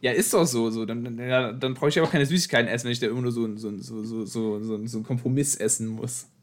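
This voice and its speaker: A man's voice: mid-range voice, fast talker, a little hiss or hum. A man co-hosting a casual podcast, talking insistently and often repeating his words. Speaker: podcast host.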